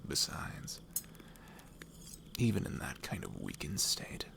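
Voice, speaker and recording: A man playing a hardened, menacing killer in a fantasy ASMR audio roleplay, speaking in a cold, deliberate, gravelly near-whisper. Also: very deep voice, wildly swooping pitch, heavily acted. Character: menacing, hardened male killer who has known starvation.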